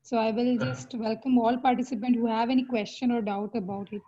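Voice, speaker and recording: A woman's voice: on the high side, fairly even intonation, brisk, warm tone, lo-fi sound. A woman moderating a question round in an online workshop, speaking hesitantly with many fillers.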